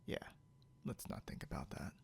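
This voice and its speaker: A man playing a possessive, snarky, non-human captor in an ASMR roleplay, speaking softly and deliberately, often close to a whisper, with smug condescension. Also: slight background noise, natural voice. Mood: teasing, menacing. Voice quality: soft, breathy, often near whisper. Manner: smug, teasing, slow and deliberate.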